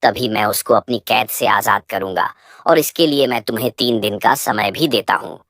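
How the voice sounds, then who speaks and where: A scheming male crocodile from an animal cartoon, acted by a woman, speaks in a very low voice. He is boastful and ruthless, giving threats and orders. The sound is dry, with no room around it. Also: moderate pace, fairly bright tone, no echo. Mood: menacing. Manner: threatening, commanding. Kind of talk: children's animal moral-story cartoon.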